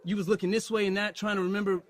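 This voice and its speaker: An actor playing a defensive young football player, sarcastic and bristling in an audio drama. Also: male voice, high-pitched, little pitch movement, racing, close to an everyday voice. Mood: sullen.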